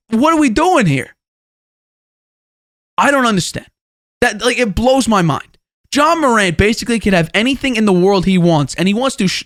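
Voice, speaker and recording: High-voiced young man talking sports. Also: fairly bright tone, no room sound, clean studio sound. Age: 20 years old. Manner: conversational and opinionated, repeats phrases for emphasis. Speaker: sports show host. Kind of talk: sports commentary monologue.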